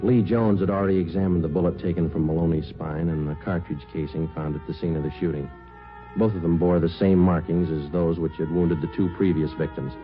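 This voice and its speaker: Muffled vintage radio sound with a male actor as a police detective sergeant. His voice is very low, and his delivery is clipped, calm and deadpan, procedural in the manner of 1950s crime radio. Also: fairly quick, faint music behind, natural voice. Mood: matter-of-fact. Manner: clipped, matter-of-fact, deadpan. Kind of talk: 1950s radio drama acting with scripted first-person narration. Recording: muffled old radio recording.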